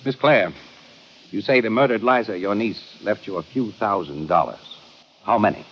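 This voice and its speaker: An actor playing a commanding police inspector who questions pointedly, in an old-time radio detective drama. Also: male voice, on the low side, moderate pace, lightly acted. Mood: probing. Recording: muffled, noisy old radio recording.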